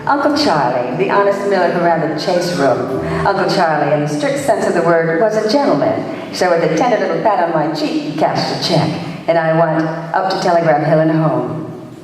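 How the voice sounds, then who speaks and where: A woman's voice: lowish voice, animated, normal speed, darkish tone, lightly acted. An actress playing a brash, wisecracking female private detective in an old-time radio play, with a sassy, dry manner. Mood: wry. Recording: echoey hall, distant microphone.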